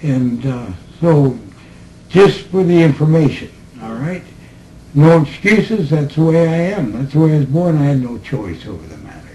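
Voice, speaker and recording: A man talking slowly and reflectively to a small group in a room, recorded on tape, with laughs between his remarks. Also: on the high side, sing-song, warm tone, low-quality recording. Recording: old tape recording with faint hiss.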